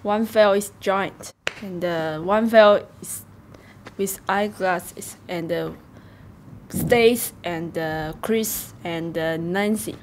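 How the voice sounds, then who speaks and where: Woman from Taiwan; mid-pitched, slow and halting, faint room hum. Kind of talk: casual interview answers.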